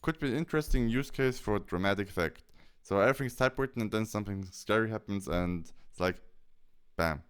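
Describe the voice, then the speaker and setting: Clear-voiced man with lively intonation. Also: on the low side, brisk. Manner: explains step by step. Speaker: tutorial presenter. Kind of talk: casual software tutorial narration.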